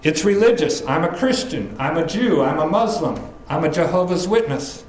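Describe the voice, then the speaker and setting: A man preaching a sermon, explaining scripture in an earnest, measured teaching manner. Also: on the high side, animated, reverberant, rough recording. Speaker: pastor. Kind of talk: expository sermon.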